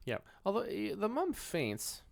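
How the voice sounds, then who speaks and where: A man's voice: high voice, wildly swooping pitch, measured pace, gravelly. A man co-hosting a podcast, chatting casually about films and chiming in with nitpicks and corrections. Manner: interjecting, nitpicking. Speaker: podcast co-host. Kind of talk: casual film discussion.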